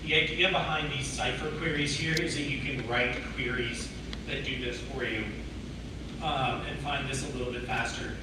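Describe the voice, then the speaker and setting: A man with a cold, hoarse, giving a technical talk to a meetup audience and explaining step by step. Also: mid-range voice, steady tone, moderate pace. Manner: explains step by step, many restarts. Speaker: tech meetup presenter. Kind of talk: technical talk answering questions. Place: meeting room with audience. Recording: echoey room, distant mic, background noise.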